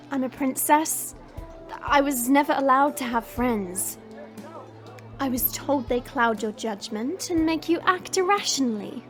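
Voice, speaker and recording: A woman's voice: high voice, takes it slowly, pauses often, soft background music. A woman playing a proud, guarded dragon princess in human form, speaking in a formal, imperious way in an audio fantasy drama. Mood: haughty, aloof.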